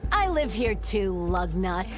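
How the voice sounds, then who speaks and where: An actress playing a brash, teasing mine worker leader in a video game, cocky in manner. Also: female voice, medium pitch. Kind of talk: video game cutscene acting.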